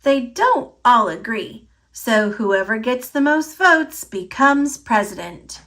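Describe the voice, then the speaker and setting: A woman reading a picture book aloud for children, with deliberate, clearly phrased, expressive narration. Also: a little high.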